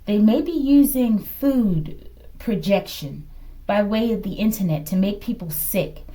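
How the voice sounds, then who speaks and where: A woman hosts an off-the-cuff tarot reading. Her medium-pitched voice moves up and down a lot. She talks in broken, musing fragments, partly to herself, and often closes a thought with a brief questioning check-in. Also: expressive, unhurried, mellow. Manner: fragmented delivery, murmuring to self, often ending phrases with a questioning "okay?". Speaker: tarot reader, host. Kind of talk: improvised tarot reading to camera.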